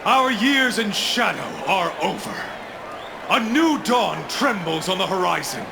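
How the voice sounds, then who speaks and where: An actor playing a grandiose, bloodthirsty sun-cult priest in a video game, preaching in a pompous, declamatory way. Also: male voice, high-pitched, sing-song, a little slow, vocal fry, noisy background, exaggerated voice. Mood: exultant. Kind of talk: theatrical game cutscene oratory. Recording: loud music behind. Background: loud music.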